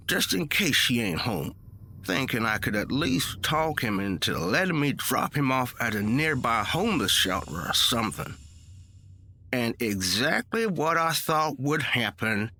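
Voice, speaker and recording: A man's voice: on the high side, sharp tone. A man reading a first-person story in a dramatic, conversational, emphatic storytelling style. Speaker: story reader. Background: music.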